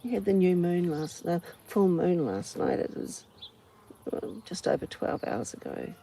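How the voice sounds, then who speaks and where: A New Zealand woman talks in a low voice with plenty of gravelly fry. She muses without a script, in short, unhurried phrases. She is outdoors, with faint buzzing of flies and farm ambience behind her. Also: sing-song, mellow, a little hiss or hum. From Auckland, New Zealand. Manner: musing, unhurried, pausing between short phrases. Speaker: host of a personal ramble vlog. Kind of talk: unscripted reflective outdoor ramble. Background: flies, insects, farm animals.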